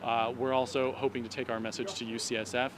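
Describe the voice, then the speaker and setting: A man speaking as a doctor and activist, explaining an issue in measured, articulate phrases during a street interview. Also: mid-range voice, level tone, a crowd in the background. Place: outdoors on an urban street at a protest. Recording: noisy city street with traffic. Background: street and traffic noise.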